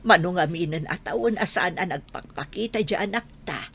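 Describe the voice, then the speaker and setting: A woman's voice: fairly low pitch, expressive, brisk, creaky, a bit bright, slightly rough, exaggerated voice. A woman playing a tender, plaintive old grandmother who longs for her son in an audio drama. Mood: sorrowful, insistent. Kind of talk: Ilocano audio drama acting.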